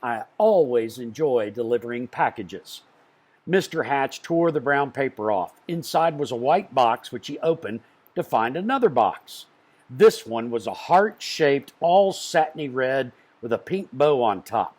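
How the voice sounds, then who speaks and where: A man reading a children's storybook aloud in an expressive way, with dramatic pauses. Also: medium pitch, breathy edge. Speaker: storybook reader.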